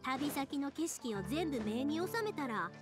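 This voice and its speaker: Bubbly Kansai girl, very high, swooping voice. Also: measured pace, over loud music. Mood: caring. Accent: Kansai Japanese. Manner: bubbly, friendly, expressive. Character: cheerful, energetic, warm-hearted young woman. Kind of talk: anime game voice acting.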